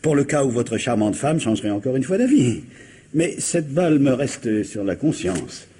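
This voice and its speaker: An actor playing a polite, dryly ironic doctor in a radio comedy-mystery, formal and deadpan. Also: male voice, mid-range voice, moderate pace, breathy edge. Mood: drily ironic.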